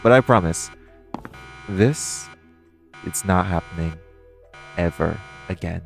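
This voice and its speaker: A man's voice: low voice, slow, gravelly, faint music behind, heavily acted. A man playing a flirty, cocky supervillain thief in an audio roleplay, teasing the listener with mock politeness. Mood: teasing menace. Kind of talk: theatrical audio roleplay, one-sided dialogue to the listener.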